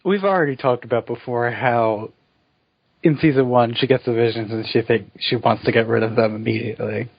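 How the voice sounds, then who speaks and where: A man's voice: mid-range voice, fairly quick, creaky, slightly rough, no room sound. A man co-hosting a casual chat podcast, talking things through thoughtfully and steering the segments. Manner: thoughtful, leads the show's segments. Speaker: podcast co-host. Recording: dull lo-fi podcast audio.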